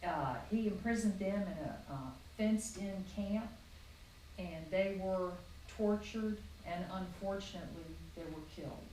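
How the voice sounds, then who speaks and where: A woman's voice: medium pitch, a little slow, mellow, heavy reverb, far from the mic, slight background noise, rough recording. A woman of sixty-eight from Virginia, a grandmother answering her grandchild's questions at home, informative and friendly. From Virginia, USA. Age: 60s. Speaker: grandmother being interviewed. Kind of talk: family interview, casual recollection. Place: home, beside a fireplace.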